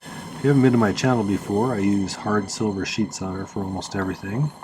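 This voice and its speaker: A man with a slightly nasal, congested voice giving a relaxed, chatty how-to lesson on a craft in his workshop, with plenty of "uh" fillers. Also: lowish voice, brisk, noisy background. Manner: relaxed and chatty, with frequent "uh" fillers and small laughs. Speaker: silversmith teaching on his channel. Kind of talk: casual how-to narration.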